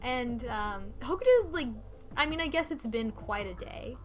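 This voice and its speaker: A woman's voice: fairly high pitch, very animated, normal speed, crowd noise. A woman co-hosting a podcast, casually retelling a story from notes in an informal, sweary, mock-dramatic way. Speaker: podcast co-host recapping a game.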